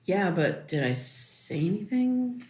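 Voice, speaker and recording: A daughter in her thirties with a somewhat low voice. She talks informally and haltingly, with a raw, insistent edge. The delivery is naturalistic audio drama. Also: sing-song, warm tone. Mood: searching. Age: 30s. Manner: conversational, hesitant, informal. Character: wounded thirty-three-year-old daughter, insistent and raw.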